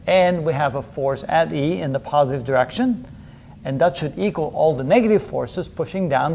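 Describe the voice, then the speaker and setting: Higher-voiced middle-aged man giving a lecture. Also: darkish tone. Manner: methodical, step by step. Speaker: engineering lecturer. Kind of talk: explanatory whiteboard lecture, working through a problem aloud. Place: indoors, in front of a whiteboard.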